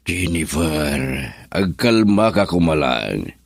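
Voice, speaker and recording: A man's voice: low voice, big pitch swings, slow pace, creaky, no echo, exaggerated voice. An actor with an Ilocano Filipino accent playing a commanding, evasive businessman husband in a radio drama. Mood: firm, irritated.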